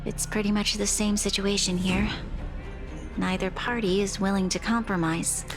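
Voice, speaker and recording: A woman's voice: medium pitch, fairly even intonation, normal speed, lightly acted. A woman playing a dignified, dutiful young ruler in a video game, speaking formally and politely. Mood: resolute. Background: game music.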